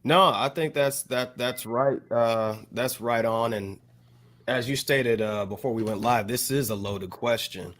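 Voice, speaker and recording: Male podcast co-host, mid pitch, reflective, loose delivery full of fillers. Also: measured pace, fairly bright tone. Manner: thoughtful, many fillers and restarts. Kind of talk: casual discussion.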